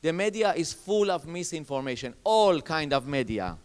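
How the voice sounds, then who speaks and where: Panelist, man, English, emphatic, somewhat high voice with a smooth, resonant tone. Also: very animated. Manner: emphatic, repetitive, halting phrasing. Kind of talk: panel discussion. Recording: reverberant conference hall.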